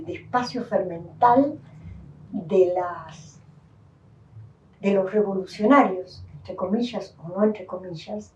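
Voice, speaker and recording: A woman's voice: mid-range voice, dark tone. An older woman with a Uruguayan Spanish accent, giving a reflective personal testimony as an interviewee, thoughtful and full of restarts. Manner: thoughtful, with many restarts and fillers. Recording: distant, dull, roomy sound.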